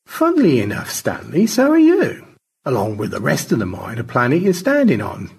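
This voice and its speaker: A man voicing a languid, pompous gentleman space adventurer in an audio story, with florid, airily unflappable delivery. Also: mid-range voice, wildly swooping pitch, moderate pace, gravelly, husky, pitched above the performer's own voice, heavily acted. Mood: airy, unruffled.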